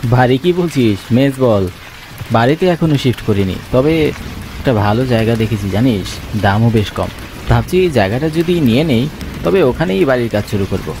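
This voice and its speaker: An earnest young sceptic in a horror cartoon, played by a man. He speaks conversationally and questioningly at a middle pitch. Rain and thunder are noisy behind him. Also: expressive, normal speed, lightly acted. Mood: casual. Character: sceptical young city-working man visiting his home village.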